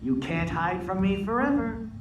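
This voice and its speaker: A man playing a warm, teasing middle-aged suburban dad who jokes in a mock-theatrical way. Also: high-pitched, moderate pace, close to an everyday voice. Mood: playful teasing. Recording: noisy, roomy, distant game audio.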